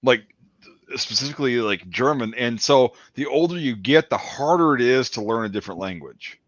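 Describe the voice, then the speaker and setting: A man's voice: medium pitch, lively intonation, moderate pace, no room sound, muffled recording. A man hosting a casual chat, talking loosely with lots of "like" and "you know". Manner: chatty, many fillers like "like" and "you know".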